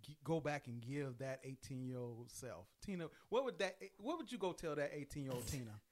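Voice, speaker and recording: A man hosting a casual group conversation as a therapist, prompting questions in a relaxed way with filler words. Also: medium pitch, fairly quick. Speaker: therapist and podcast host.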